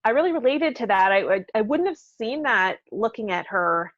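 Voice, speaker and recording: A woman co-hosting a podcast in casual conversation, speaking thoughtfully and haltingly as she searches for words. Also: on the high side, big pitch swings, a bit bright, no room sound, highs cut off. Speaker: podcast co-host.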